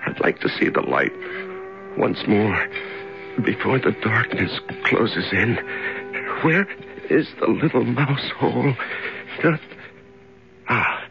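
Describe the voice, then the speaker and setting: An actor in a 1970s radio drama playing a weak, half-mad prisoner who talks haltingly and repetitively to himself in a tremulous, feeble voice. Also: male voice, medium pitch, big pitch swings, gravelly, over music, full character voice. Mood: feeble searching. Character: weak, half-mad male prisoner in darkness, desperate yet gentle. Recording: dull vintage radio recording.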